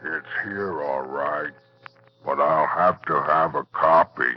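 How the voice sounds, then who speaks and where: A man's voice: extremely low pitch, fairly even intonation, takes it slowly, faint music behind. An actor playing a sinister, obsessive rustic young man with ominous phrasing in a 1940s radio drama. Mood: menacing, insistent. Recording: muffled, echoey old radio recording.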